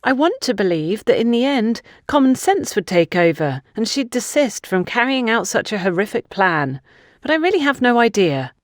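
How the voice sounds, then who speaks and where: Woman acting a defensive young woman, pitched up. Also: sing-song, normal speed, no room sound, clean studio sound. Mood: reluctant, candid. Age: young adult. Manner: accusatory, indignant. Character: defensive, suspicious young woman under questioning.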